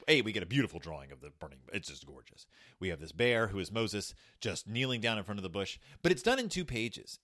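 A man retelling a Bible story in a loose, irreverent way, his pitch rising and falling with energy. He pauses and restarts often, and the recording is dry. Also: no echo. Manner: animated, irreverent, halting. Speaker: commentator.

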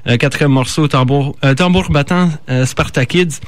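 Halting Canadian French male radio host. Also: fairly high pitch. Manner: halting, stumbling over words, self-correcting. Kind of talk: informal live radio talk.

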